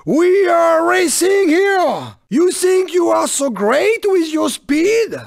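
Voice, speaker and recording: A man's voice: very high-pitched, slow pace, gravelly, dry recording, clean studio sound, heavily acted. A male actor voicing a small, irritable, boastful French car for a video game, with theatrical, haughty delivery and a French accent. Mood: angry, scornful. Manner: theatrical, excitable, haughty, exclamatory.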